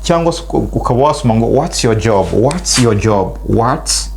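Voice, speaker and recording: A middle-aged man with a Rwandan accent, a native Kinyarwanda speaker, teaching spoken English and stretching out model phrases slowly for learners to repeat. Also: medium pitch, lively intonation, gravelly, darkish tone, slight background noise.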